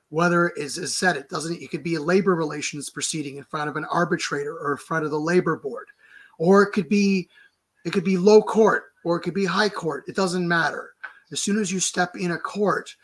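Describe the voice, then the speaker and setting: A hoarse man, having lost his voice, explains a legal challenge fast and insistently on a video call, restarting words often. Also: fairly high pitch, a bit bright. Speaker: lawyer guest.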